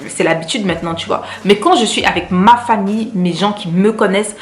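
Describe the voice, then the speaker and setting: A woman's voice: medium pitch, brisk, a little hiss or hum. Echoey-voiced woman vlogger, grateful chat. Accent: French. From Cameroon. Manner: warm, grateful, repeats words for emphasis. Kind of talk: casual vlog chat.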